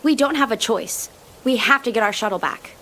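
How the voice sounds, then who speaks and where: A woman's voice: fairly high pitch, brisk, fairly bright tone, a little hiss or hum, close to an everyday voice, poor audio. A woman playing a headstrong, determined young space traveler in an audio drama, speaking insistently.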